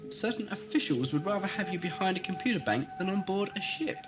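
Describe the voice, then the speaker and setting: A man's voice: high voice, lively intonation, quick pace, music in the background. A man playing an eccentric, knowing time-traveller in a fan audio drama, wry and articulate, with a slightly hesitant, glib manner. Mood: glib bluffing. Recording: old cassette, sound bed behind.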